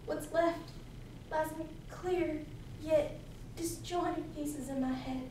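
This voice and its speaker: A young woman as a high-voiced, guilt-ridden teenage girl. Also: steady tone, takes it slowly, warm tone. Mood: somber, haunted. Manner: intense, theatrical first-person storytelling. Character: distraught fourteen-year-old runaway girl, guilt-ridden and earnest. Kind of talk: dramatic interpretation monologue. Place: indoor competition room, distant camera. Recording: echoey room from far off, noisy.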